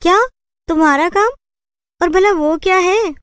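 A woman's voice: measured pace, a bit bright, no echo, clean studio sound. A woman voicing an earnest, brave young village woman in a cartoon story, emphatic in manner. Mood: alarmed. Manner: high, emphatic, protesting.

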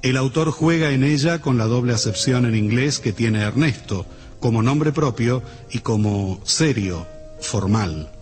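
A man with an Argentine accent gives a formal, measured, literary introduction to a radio play, reading from a script. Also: medium pitch, animated, a little mellow. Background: music.

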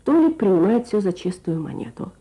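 A woman's voice: medium pitch, sing-song, fairly quick, dark tone. A woman presenter reading a scripted feature in the style of Soviet radio. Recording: archive radio broadcast with music.

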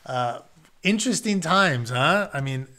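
A man's voice: fairly high pitch, expressive, unhurried, bright, forceful tone. A man in his thirties reading a story aloud in a home office, with informal asides that explain things in plain terms. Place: carpeted home office, indoors.

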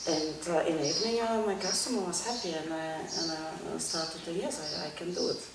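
A woman's voice: fairly low pitch, heavy background noise. Middle-aged Polish woman, non-native English, hesitant; recorded far off in a big echoey garage. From Poland. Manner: halting second-language English, repeats words. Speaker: interview guest, car detailer. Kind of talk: casual interview answers. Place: large workshop garage, indoors.

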